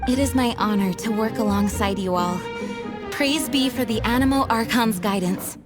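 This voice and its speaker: A woman's voice: a little high, normal speed, close to an everyday voice. A woman playing a dutiful, gracious young knight leader in a video game, speaking in an earnest, formal and polite way. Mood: grateful. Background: music.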